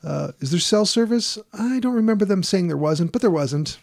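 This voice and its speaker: A man's voice: sits high, lively intonation, moderate pace, creaky. A man casually recapping a horror film on a podcast, rambling and wry, with frequent fillers and laughs. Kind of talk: casual comedic chat.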